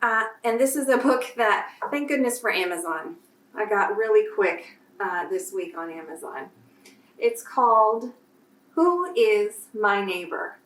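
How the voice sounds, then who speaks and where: A woman reading aloud to children as a storyteller, with a deliberate, simple delivery. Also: medium pitch, animated. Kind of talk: children's picture book read-aloud with casual introduction. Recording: roomy echo, distant microphone.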